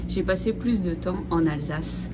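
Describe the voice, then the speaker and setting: A middle-aged woman from Lorraine answering interview questions, measured and pausing with hesitations. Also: fairly low pitch, background noise. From Lorraine, France. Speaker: interviewee, real estate broker.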